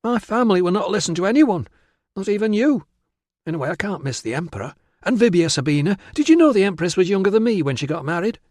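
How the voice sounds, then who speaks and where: A man narrator plays a silly, excitable young girl in an audiobook. The voice is pushed high, gushing and whiny, and always insistent. The sound is close and dry. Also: quick pace, no echo. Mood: sulky, insistent. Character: silly, pleading, excitable teenage British girl. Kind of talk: audiobook character voice.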